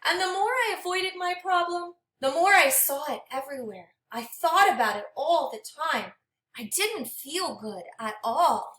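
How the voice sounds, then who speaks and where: A high-pitched, very animated woman reads a storybook to children in a dramatic, teacherly way. She moves between narrating and speaking directly to her young listeners. The sound has a clearly echoey room around it. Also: moderate pace. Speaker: teacher reading a picture book aloud. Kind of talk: interactive children's read-aloud with questions to listeners. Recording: echoey room, distant microphone.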